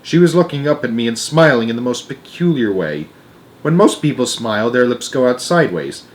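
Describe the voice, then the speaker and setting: A man's voice: medium pitch, animated, brisk. Man reading a children's story aloud. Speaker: storybook narrator. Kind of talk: expressive read-aloud narration of a children's novel.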